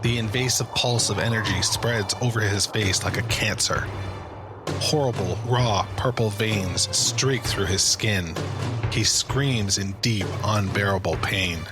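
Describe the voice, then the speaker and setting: Guy narrating a drama script, deeper voice, present tense, loud music under him. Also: measured pace.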